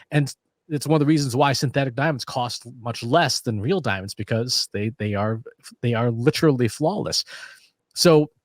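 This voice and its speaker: A man's voice: medium pitch, no room sound, studio quality. A man co-hosting a podcast in casual conversation, explaining ideas with analogies and frequent restarts. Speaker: podcast co-host.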